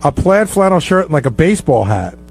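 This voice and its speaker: Higher-pitched man bantering over a noisy hum. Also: very animated, fairly quick, vocal fry. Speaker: talk show host. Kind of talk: casual bantering talk.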